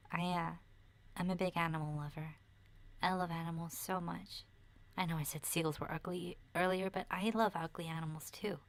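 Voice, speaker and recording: Soft, breathy, whispery child played by a woman. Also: deep voice. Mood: tender, earnest. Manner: hesitant, earnest, confiding, with sighs. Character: gentle, sensitive schoolchild surviving a zombie apocalypse. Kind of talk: soft-spoken ASMR roleplay monologue with whispering.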